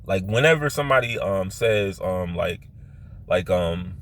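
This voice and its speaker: Male vlogger, Philadelphia accent, lowish voice, leans hard on his O's, halting and repetitive personal storytelling. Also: animated, a bit bright, slight background noise. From Philadelphia, United States. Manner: stresses his O vowels; halting, repetitive, circles back to his point.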